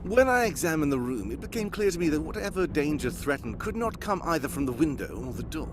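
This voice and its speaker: A man's voice: a little high, very animated, fast. A man playing a brilliant, self-assured, commanding male detective in a live radio drama, theatrical and pompous in delivery. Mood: self-satisfied explaining. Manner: theatrical, bossy, pompous monologuing. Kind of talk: live cold-read recreation of 1940s radio drama. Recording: live stage recording with clear background noise.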